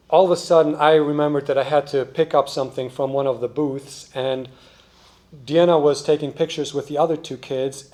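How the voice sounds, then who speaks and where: Casual, higher-pitched man telling anecdotes. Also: darkish tone. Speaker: missionary speaker at a church breakout session. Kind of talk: informal anecdotal talk.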